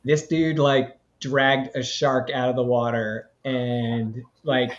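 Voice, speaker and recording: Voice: medium pitch, little pitch movement, slow. A host of a live interview stream, telling stories in a rambling way, full of fillers. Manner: rambling, filler-heavy storytelling. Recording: slightly dull lo-fi audio.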